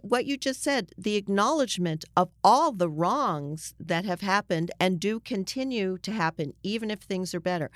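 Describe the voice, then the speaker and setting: An earnest woman talks as an interview guest, with a medium-pitched voice. She makes her points emphatically in a conversational way. The sound is dry, with very little room to it. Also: no room sound. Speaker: journalist guest.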